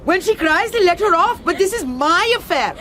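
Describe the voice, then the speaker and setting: A woman's voice: very high voice, lively intonation, normal speed, a bit bright. An actress playing a spiteful, bossy servant woman in a radio drama, chattering and scolding with glee. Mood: gleeful scolding.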